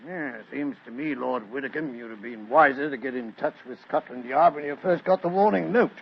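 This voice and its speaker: A man playing a gruff, practical London police inspector with a British accent, in blunt 1940s radio acting. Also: mid-range voice, a little fast, close to an everyday voice. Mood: blunt, questioning. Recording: muffled, noisy old radio recording.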